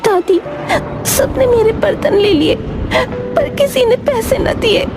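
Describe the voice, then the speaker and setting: Lady as a tiny sad village girl in a cartoon tale, really high plaintive voice, music under it. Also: slow. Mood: tearful. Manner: plaintive, timid. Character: meek, hungry little village potter girl. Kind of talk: cartoon moral story acting.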